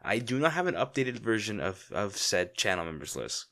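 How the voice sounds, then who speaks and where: A man's voice: fairly low pitch, big pitch swings, normal speed, fairly bright tone. A man talking casually and informally to his audience as a channel creator, a little hesitant. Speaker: audio roleplay channel creator. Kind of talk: casual apologetic channel outro.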